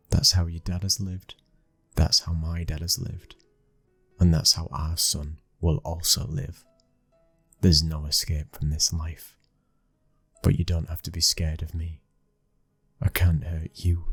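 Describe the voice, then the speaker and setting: A young British mafia heir, acted by a man, cold, dominant and protective. His very deep voice is husky and breathy, and barely rises or falls. He speaks slowly in a hushed ASMR roleplay, close and dry over faint music. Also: dry recording, heavily acted. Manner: slow, hushed, commanding. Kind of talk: ASMR boyfriend roleplay acting.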